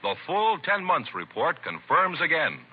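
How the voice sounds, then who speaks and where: A man's voice: fairly high pitch, sing-song, a little slow, slightly rough. A man reading a 1950s radio advertisement as an announcer, with emphatic sales delivery on a muffled vintage recording. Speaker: commercial announcer.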